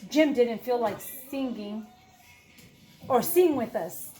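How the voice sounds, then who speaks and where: High, animated woman reading to children. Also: soft background music. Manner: animated, slow, questioning asides to listeners. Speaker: read-aloud storyteller, teacher. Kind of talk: expressive children's picture book read-aloud with asides to children.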